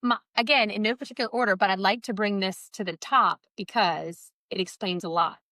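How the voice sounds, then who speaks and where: Sex: woman. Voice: nasal, mid-pitched. Role: podcast co-host. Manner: chatty, self-mocking. Sound: dry, close. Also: fairly quick, sharp tone, no room sound. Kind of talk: casual podcast chat.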